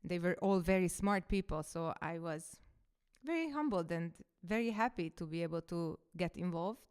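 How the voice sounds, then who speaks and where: A woman's voice: on the low side, animated, normal speed, darkish tone, clear, resonant tone. A woman in her thirties, a coach and podcaster whose first language is Hungarian, telling her story in a reflective, casual monologue.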